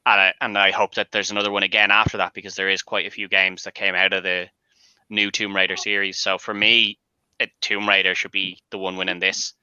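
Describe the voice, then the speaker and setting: A man's voice: low-pitched, flat delivery, a little fast, sharp tone, smooth, dry recording, dull audio. A man speaking as a panel guest, casually arguing his view on films. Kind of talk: casual film debate.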